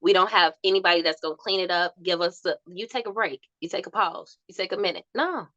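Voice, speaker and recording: Soft-voiced woman with a bright, dry tone. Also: lowish voice, fast, no echo, lo-fi sound. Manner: animated storytelling with laughs and acted-out quotes. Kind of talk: candid podcast conversation.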